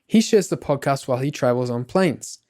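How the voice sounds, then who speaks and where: A man's voice: medium pitch, lively intonation, fairly quick, dark tone. A man hosting a podcast, reading scripted narration.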